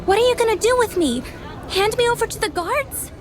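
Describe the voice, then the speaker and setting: A small, high-voiced street urchin girl in a medieval fantasy game. She sounds stubborn, plaintive and defiant, with lively rises and faint music behind. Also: fairly quick, a crowd in the background. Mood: defiant, wary. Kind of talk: video game voice acting.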